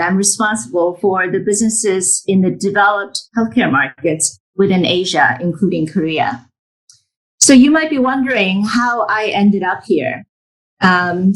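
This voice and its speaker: Sex: woman. Role: executive, interview guest on a remote call. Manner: slow, composed, mid-pitched voice. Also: poor audio. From United States. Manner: measured, composed, with fillers between phrases. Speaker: corporate executive, guest speaker. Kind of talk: interview answer, self-introduction. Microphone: remote call from abroad.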